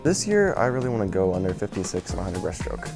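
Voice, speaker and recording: A man's voice: sits low, sing-song, quick pace. A young man from Alaska, a college swimmer giving casual interview answers. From Alaska, United States. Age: college freshman, about 18. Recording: music playing behind the voice.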